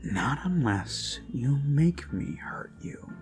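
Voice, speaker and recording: A performer of unclear sex improvising an ASMR roleplay as an obsessed kidnapper who dotes menacingly on a captive, halting and slipping into whispers. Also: medium pitch, sing-song. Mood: soothing, threatening. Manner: slow, halting, lapsing into whispers and giggles. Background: eerie background music.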